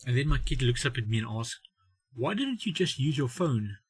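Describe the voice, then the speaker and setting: A middle-aged man telling a humorous story in a wry, self-mocking way, with dramatic pauses and bits of acted-out dialogue. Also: medium pitch, big pitch swings, breathy edge, dry recording, faint music behind. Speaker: host of a family humour channel. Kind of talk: comedic storytelling monologue.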